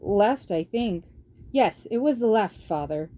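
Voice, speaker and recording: A woman's voice: medium pitch, expressive, measured pace, dark tone, close to an everyday voice. Woman playing an eager young mouse. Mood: earnest, excited. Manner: eager, earnest. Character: earnest, excitable young male mouse.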